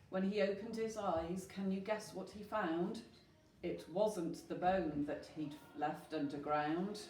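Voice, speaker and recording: Unhurried woman reading in a roomy space. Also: medium pitch. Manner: expressive, asks the listeners questions. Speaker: storyteller at a school. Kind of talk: rhyming children's story read aloud. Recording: roomy, distant mic with faint noise.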